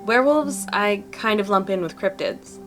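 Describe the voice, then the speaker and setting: A woman's voice: medium pitch, normal speed, a bit bright, soft background music, lightly acted. A woman playing a chatty, upbeat young cryptid hunter who makes videos, with an earnest, folksy way of talking in fan-dubbed game dialogue. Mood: candid, earnest.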